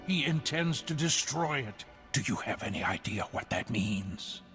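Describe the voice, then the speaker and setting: An actor playing a frightened, tortured scientist in a video game, earnest and grave. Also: male voice, medium pitch, animated, unhurried, fairly bright tone. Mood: shaken, urgent. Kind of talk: dramatic video game voice acting. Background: music behind the voice.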